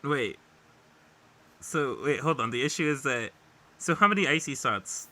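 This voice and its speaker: A man with a very bright, punchy voice and animated intonation. He talks as a podcast co-host in loose comedic banter. His delivery is playful and profane, riffing as he goes. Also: medium pitch, unhurried.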